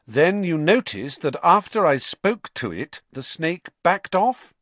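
A man's voice: on the high side, sing-song, slow pace, fairly bright tone, dry recording, pitched above the performer's own voice, full character voice. A man voicing an earnest, hot-tempered schoolboy hero in an audiobook, direct and indignant. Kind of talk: audiobook character acting.

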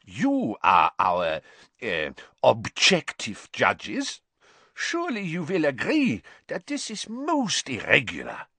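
A sly, volatile headmaster, performed by a male narrator, with a voice that swoops widely and often climbs high. He is cold, scheming and unctuous, a storybook schemer quick to take offence. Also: wildly swooping pitch, a bit bright, husky, no echo, exaggerated voice. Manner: unctuous, turning explosively angry.

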